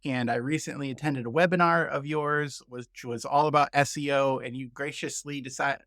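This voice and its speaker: Creaky-voiced male podcast host. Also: medium pitch, fairly even intonation, normal speed, a bit bright, no room sound, studio quality. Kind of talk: conversational show hosting.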